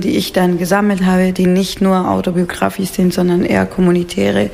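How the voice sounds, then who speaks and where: A woman with a Peruvian Spanish accent talks off the cuff as an interviewed painter. Her voice is mid-pitched with plenty of fry and fairly flat intonation, and she feels her way through non-native phrasing. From Peru. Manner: informal, searching for words, non-native phrasing. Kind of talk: spontaneous interview explanation.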